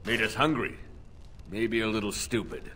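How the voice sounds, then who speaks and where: A man's voice: lowish voice, moderate pace, sharp tone, a little hiss or hum. A man playing a cynical, world-weary rogue in a fantasy video game, talking tersely and wryly. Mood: terse, resigned. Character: gruff, cynical male rogue in a thieving crew. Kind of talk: video game cutscene acting.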